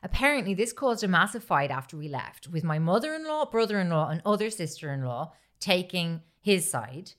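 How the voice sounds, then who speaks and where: A woman's voice: lowish voice, big pitch swings, brisk, hardly any pauses. A woman podcast host chatting casually and reading a story aloud, swearing freely and acting out the quoted dialogue.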